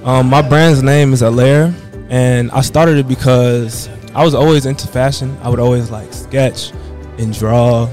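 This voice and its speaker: A man's voice: mid-range voice, fairly even intonation, a little slow, darkish tone. A man in his twenties from the Washington DC area talks about his clothing brand in an easygoing, conversational way. He fills his sentences with casual asides. Faint music sits under his voice. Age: 20s. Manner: easygoing, sprinkled with "you know". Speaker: fashion designer interviewed. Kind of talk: casual interview answers.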